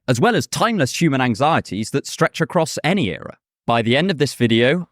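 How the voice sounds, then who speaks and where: A man narrating a scripted video essay in a measured, thoughtful way. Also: medium pitch, dry recording, clean studio sound. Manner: measured, thoughtful, with a casual aside.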